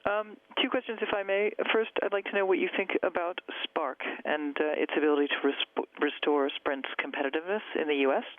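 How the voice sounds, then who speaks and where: A man's voice: fairly high pitch, brisk, few pauses, no room sound. A man speaking over a telephone line as a financial analyst putting spontaneous questions on a conference call. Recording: narrow tinny telephone line.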